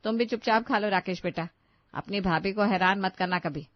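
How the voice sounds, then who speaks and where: A woman voicing a kindly, protective older Indian mother-in-law who gently instructs the family. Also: mid-range voice, normal speed, dry recording, lo-fi sound, natural voice. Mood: soothing.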